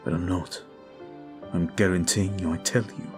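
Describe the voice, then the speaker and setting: A man voicing a brooding male vampire who is weary and guilt-ridden, talking slowly and softly in an ASMR roleplay. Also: deep voice, fairly even intonation, natural voice. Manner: slow, soft, confiding. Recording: faint music behind.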